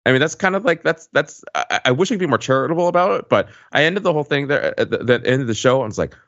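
A man's voice: medium pitch, expressive, fast talker, fairly bright tone, no room sound, dull audio. A man co-hosting a podcast, talking casually and analytically about pop culture, with lots of "like" and "right" in his phrasing. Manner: hedging, filler-heavy phrasing with frequent "like" and "right". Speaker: podcast co-host.

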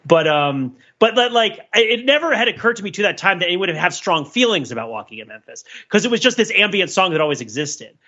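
Bright-voiced man, wry and digressive. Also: high voice, very animated, quick pace, hardly any pauses, dull audio. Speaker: podcast panelist. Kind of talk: casual discussion, rambling pop culture analysis.

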